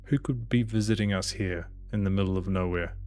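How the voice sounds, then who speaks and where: A man's voice: low voice, brisk, darkish tone, soft background music. A man reading a visual novel's narration and dialogue aloud as a gaming host.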